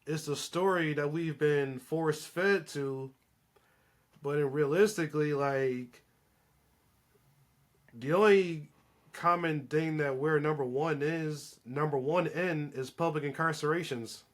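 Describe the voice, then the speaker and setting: A man's voice: fairly high pitch, slow. A man in a casual, opinionated podcast chat, speaking in an emphatic, slangy way.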